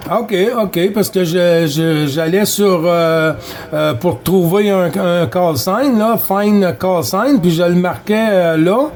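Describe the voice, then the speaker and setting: A man's voice: high-pitched, takes it slowly, a little mellow. A man with a Quebec French accent, a CB radio operator chatting casually and repeating himself in a friendly, folksy way. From Quebec, Canada. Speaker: amateur CB radio operator running contacts.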